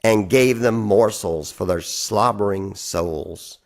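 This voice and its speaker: A man performing a dramatic spoken-word poetry reading, phrasing deliberately and with theatrical emphasis. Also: lowish voice, creaky. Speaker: reader of poetry.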